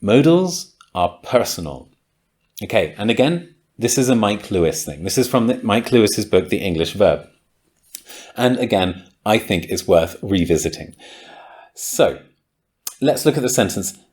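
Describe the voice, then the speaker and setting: A man's voice: fairly low pitch, big pitch swings, a little slow, a little mellow. A man hosting a podcast, explaining grammar ideas in a casual, thoughtful way, with frequent ums and likes and bursts of enthusiasm. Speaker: podcast host and English teacher.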